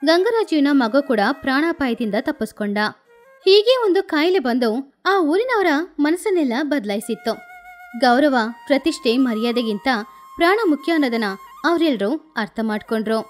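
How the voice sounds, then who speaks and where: A woman narrates a scripted moral story in a high voice. She works as a storyteller, reading the tale over faint background music. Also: animated, normal speed.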